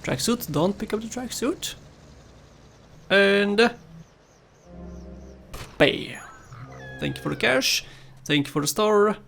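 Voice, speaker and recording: A man's voice: high voice, lively intonation, slow, gravelly, long pauses, a bit bright, soft background music. A man with a Bulgarian accent giving casual, playful gameplay commentary, chatting to viewers and often laughing at himself. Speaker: gaming YouTuber.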